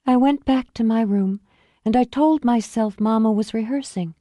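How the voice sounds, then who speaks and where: A guarded young woman recounts her past in a quiet, held-back voice, voiced by a woman narrator. The tone is mellow and warm. The sound is dry and close. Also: fairly high pitch, normal speed, dry recording, voice pushed up, close to an everyday voice. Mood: recounting.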